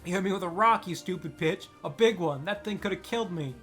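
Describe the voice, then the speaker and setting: A man's voice: a little high, fairly quick, soft background music, lightly acted. A man voicing a cruel, bullying village boy with a jeering, bratty way of talking. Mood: shrill, furious.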